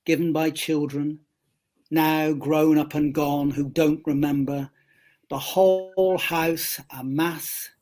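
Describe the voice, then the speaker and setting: A poet reading their poem aloud in a measured, wry way with deliberate phrasing. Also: medium pitch, level tone, darkish tone. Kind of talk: slow poetry reading.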